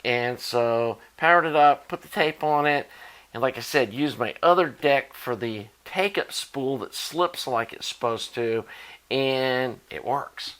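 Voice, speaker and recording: Man, sixties, middle pitch, informal rambling delivery, casual hobbyist vlog talk. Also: measured pace, fairly bright tone. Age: 60s. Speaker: electronics hobbyist and channel host.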